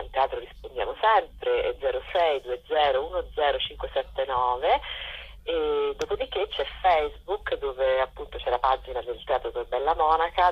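A woman's voice: extremely low pitch, husky. A woman speaking as a festival director in an informal radio interview, hesitant and searching for words. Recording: dull lo-fi telephone line.

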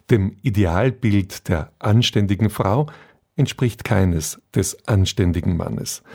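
Dunkel klingender Radiomoderator, tiefe Männerstimme. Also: male voice, fairly low pitch, darkish tone. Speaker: radio presenter introducing a radio play. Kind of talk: scripted narration.

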